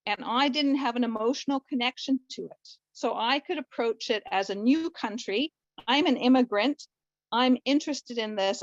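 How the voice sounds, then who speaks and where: A woman's voice: on the high side, dry recording. Canadian woman, muffled call audio. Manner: chatty, rambling, friendly. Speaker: family doctor. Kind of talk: participant comment in online session. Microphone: video call.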